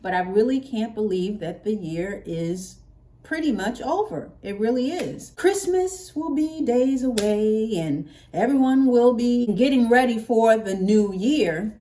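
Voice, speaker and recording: An American woman talks casually to her viewers as a vlogger. Her voice sits a little high. She is chatty and playful, leaning on words and drawing them out for emphasis. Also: dark tone. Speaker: hair care vlogger.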